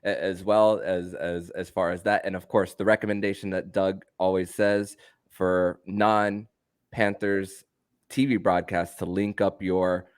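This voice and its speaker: A male sports podcast host with a low voice, recorded close and dry. His conversational delivery can be halting, with stammered restarts. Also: flat delivery, clean tone, no echo. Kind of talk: conversational hosting and sign-off.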